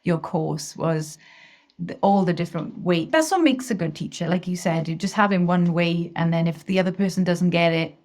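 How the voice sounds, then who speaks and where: Enthusiastic, low-voiced Welsh woman guest. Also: fairly quick, hardly any pauses, darkish tone. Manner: enthusiastic, effusive, laughs often. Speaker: interview guest, singing teacher. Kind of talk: casual interview chat.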